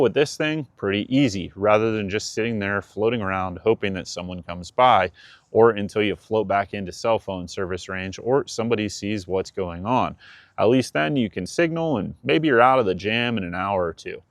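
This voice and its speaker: A man's voice: on the low side, no echo. A man explaining practical safety advice to viewers in a matter-of-fact, conversational, instructive way. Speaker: presenter of a boating advice channel.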